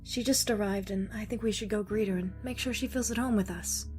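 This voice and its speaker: A woman playing a kindly, motherly caretaker in a drama, with a gentle, encouraging manner. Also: mid-range voice, a little fast, music in the background, natural voice. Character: Kayla, kindly, motherly female caretaker and scientist. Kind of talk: dramatic voice acting in an audio drama.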